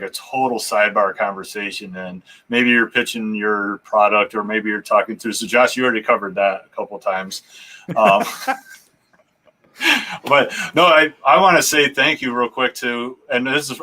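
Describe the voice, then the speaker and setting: Rambling male stream host, bright voice. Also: medium pitch, moderate pace, poor audio. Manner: rambling, restarts, chuckles. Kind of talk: casual live-stream chat.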